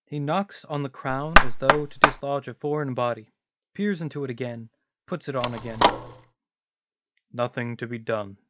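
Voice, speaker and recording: A man's voice: medium pitch, moderate pace, very noisy. A man narrating a play, reading out its stage directions as scripted narration.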